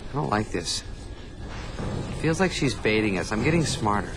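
A man's voice: lowish voice, big pitch swings, normal speed, music in the background. An actor playing a small, cowardly, wisecracking male sidekick in a comic video game cutscene style. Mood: nervous.

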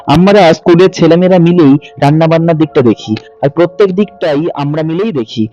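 A young man narrates a first-person ghost story in a suspenseful, conversational way, pausing for drama. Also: on the high side, dark tone, highs cut off. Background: background music.

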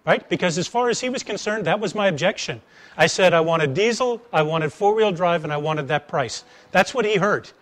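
A middle-aged man with an American accent delivers an informal talk. His voice sits a bit higher than most men's, and he explains through animated anecdotes, often stopping to pose a rhetorical question. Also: normal speed. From United States. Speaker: speaker giving a talk, former engineer turned sales trainer. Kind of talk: conversational public lecture with acted-out anecdotes. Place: attic seminar room with wooden floor. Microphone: lapel mic.

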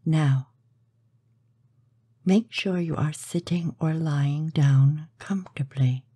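A woman narrating a scripted guided meditation in a soothing, measured, breathy way, with soft delivery and pauses. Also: low-pitched, no echo.

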